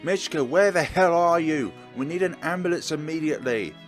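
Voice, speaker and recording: A man's voice: on the high side, animated, fairly quick, soft background music, voice pushed up, natural voice. A man voicing an earnest, protective young policeman from a visual novel, pleading urgently and emphatically. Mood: distressed.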